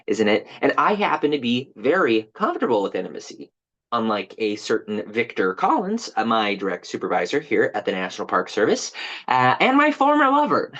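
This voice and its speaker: A bitter male park guide performed by an actor holds forth in a theatrical monologue. His pitch leaps about expressively as he chats with passive-aggressive, mock-informative zeal. The audio is slightly muffled. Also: fairly bright tone. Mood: bitter, catty. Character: bitter, jilted, just-fired male park tour guide. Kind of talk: dramatic monologue reading as a tour speech.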